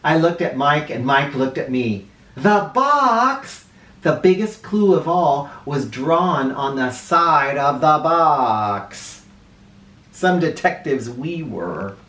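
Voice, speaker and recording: A man reads a children's storybook aloud at an unhurried pace with lively, swinging intonation. He sounds a bit away from the mic in a very echoey room. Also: fairly high pitch, recorded from a distance. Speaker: children's read-aloud host. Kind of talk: animated storybook narration for children.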